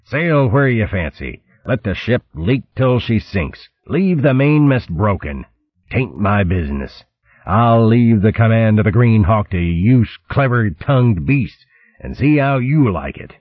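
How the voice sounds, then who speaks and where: A man's voice: on the low side, big pitch swings, measured pace, no echo, pitched above the performer's own voice. A man voicing a hot-tempered male fox pirate captain who roars and sulks in pirate talk, on a tinny lo-fi recording. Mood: sulky. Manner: roaring, huffy, pirate-talking. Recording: tinny lo-fi line.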